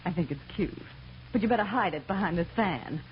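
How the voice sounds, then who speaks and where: A woman as a teasing, lively mischievous wife. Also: fairly low pitch, brisk, close to an everyday voice. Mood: coaxing, teasing. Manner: playful, slangy, coaxing. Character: mischievous, teasing, breezy young ghost wife. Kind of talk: 1940s radio comedy acting. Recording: dull, hissy old radio recording.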